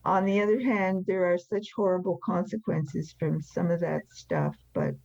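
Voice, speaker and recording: An older woman speaks as an interview guest with a crackly, fry-laden voice. She is blunt and emphatic as she shares personal experience. The recording is dry and slightly dull. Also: fairly low pitch, lively intonation, normal speed, a little mellow, dry recording. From France. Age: 70s or older. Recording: slightly dull, band-limited sound.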